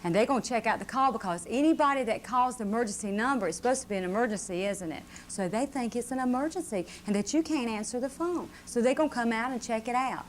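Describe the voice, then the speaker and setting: A woman's voice: fairly high pitch, fast, hardly any pauses. A woman giving a simple safety lesson to a live group of children, checking with questions as she explains. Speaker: fire safety educator. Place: live puppet show presentation. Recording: faint noise on VHS tape.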